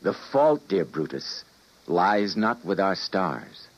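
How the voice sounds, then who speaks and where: A man's voice: low voice, big pitch swings, slow pace, exaggerated voice. An actor playing an anguished scientist and time traveler in a 1950s radio drama, telling of his downfall in a grave, dramatic manner. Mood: despairing, resigned. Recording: muffled vintage radio recording.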